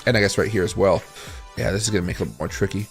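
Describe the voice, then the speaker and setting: A tired man with a raspy voice hosting a casual crypto livestream, thinking aloud as he reads charts and answers chat. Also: low-pitched, racing, soft background music. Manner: rambling, thinks aloud while scrolling charts and reading chat.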